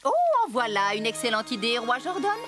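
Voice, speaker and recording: A woman's voice: on the high side, very animated, a little fast, a bit bright. A woman voicing a playful, commanding fountain fairy in a French children's cartoon, theatrical, with music behind her. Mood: mischievous command. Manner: theatrical, authoritative.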